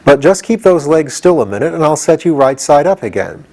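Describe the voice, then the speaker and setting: A man plays a young boy adventurer from a storybook, pitched above the reader's own voice. He is practical and bossy, giving brisk instructions and reassurance. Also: sing-song, a little mellow. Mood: brisk, reassuring. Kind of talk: audiobook character voice.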